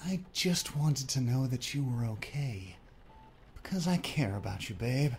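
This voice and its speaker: A man's voice: mid-range voice, lively intonation, unhurried, darkish tone, slight background noise. A man playing a pushy, aggravated ex-boyfriend who needles and gets defensive in an audiobook drama. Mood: insistent. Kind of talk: audiobook character dialogue.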